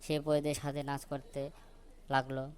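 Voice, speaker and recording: Slow, even-toned man telling stories. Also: mid-range voice. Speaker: storyteller. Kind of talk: scripted children's story narration. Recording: noticeable background noise.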